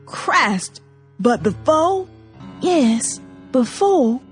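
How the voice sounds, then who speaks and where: A woman's voice: sits high, very animated, very slow, soft background music, pitched above the performer's own voice, full character voice. A betrayed young man spits out short, hard words full of bitter anger, as a woman narrator puts him on. He sounds choked and tight, as if holding back sobs.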